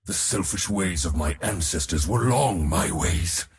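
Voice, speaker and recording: An actor playing an arrogant, bloodthirsty war god for a video game, with a pompous, declamatory delivery. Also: male voice, low voice, expressive, a little slow, gravelly, big-room sound, full character voice. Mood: grave, resolute. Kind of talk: theatrical video game character acting.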